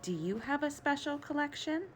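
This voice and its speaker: A woman reading a picture book aloud to young children, expressive and gentle, with friendly asides to her listeners. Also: high voice, normal speed, over music. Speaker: storyteller and teacher for young children.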